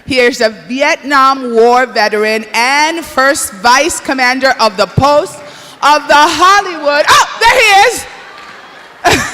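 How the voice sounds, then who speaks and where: A woman's voice: high voice, slow pace. A woman with a Spanish-influenced English accent, hosting an awards show in a bubbly, chatty way. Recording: long hall reverb with faint noise.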